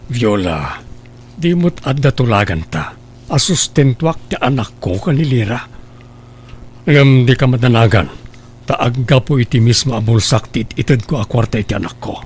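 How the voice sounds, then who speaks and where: A man acting a middle-aged estranged father in an Ilocano radio drama, husky, earnest and placating, with an Ilocano accent. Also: medium pitch, lively intonation, moderate pace. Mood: pleading.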